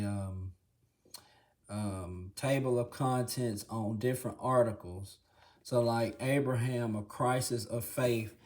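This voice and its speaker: A man hosting a casual Bible review video, his voice raspy and hoarse, often clearing his throat, and checking in with the viewer again and again. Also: mid-range voice. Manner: relaxed, filler-heavy, says "okay?" often. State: hoarse from congestion. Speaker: channel host reviewing a Bible.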